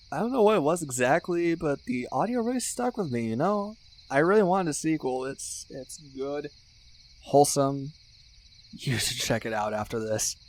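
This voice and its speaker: A young man playing a teasing, earnest, geeky best friend in a casual ASMR roleplay, rambling conversationally with musing pauses. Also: on the high side. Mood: enthusiastic. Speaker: voice actor in an audio roleplay.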